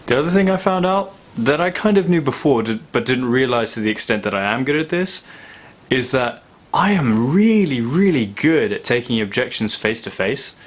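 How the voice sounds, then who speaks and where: A young man talking casually and reflectively in a vlog, thoughtful and halting with long pauses. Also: mid-range voice, lively intonation. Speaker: vlogger.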